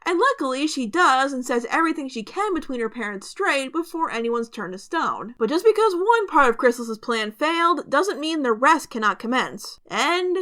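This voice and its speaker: Female reviewer and host, high bright voice, scripted yet conversational, opinionated commentary with asides. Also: very animated, moderate pace, few pauses.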